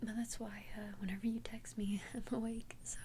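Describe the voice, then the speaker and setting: A woman whispering in a breathy, gentle, hesitant way, confiding as a friendly vampire best friend in an ASMR roleplay. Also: fairly high pitch, flat delivery, a little fast, slight background noise. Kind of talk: whispered ASMR roleplay, one-sided conversation. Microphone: close ASMR microphone.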